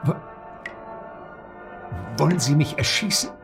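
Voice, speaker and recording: An actor in a German radio drama playing an evasive, frightened seducer who is defensive, halting and apologetic. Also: male voice, fairly high pitch, creaky, soft background music. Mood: shaken, pleading.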